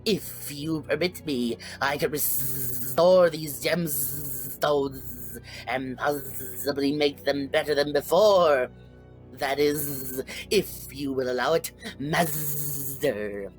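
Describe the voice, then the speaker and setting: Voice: medium pitch, faint music behind, natural voice, poor audio. Narrator doing a fawning buzzy fly-mutant scientist guy, drags every word out, cartoon fanfic. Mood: fawning, nervous. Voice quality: buzzing. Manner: halting, drawn-out, deferential.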